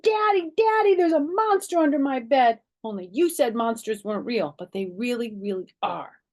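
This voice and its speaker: A woman plays a stubborn, excitable little kindergarten girl from a children's storybook. The voice jumps up high and gets emphatic and insistent, full of childish grammar. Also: moderate pace. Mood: urgent.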